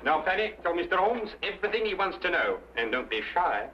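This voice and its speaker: An actor playing an excitable, cheeky showman in an old-time detective drama, chattering and theatrical. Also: high voice, fairly quick. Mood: chirpy. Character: Harry Crocker, excitable cheeky showman protesting his innocence. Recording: muffled, noisy old radio-era recording.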